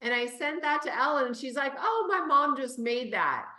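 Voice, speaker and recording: Bright lady host asking guests about themselves, echoey room. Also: high voice, expressive, moderate pace. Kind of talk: casual group chat.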